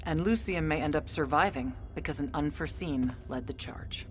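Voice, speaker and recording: An actress playing a steady, frank battlemage commander, speaking in an earnest, direct way in a serious video game cutscene. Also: female voice, low voice, moderate pace, faint music behind, lightly acted.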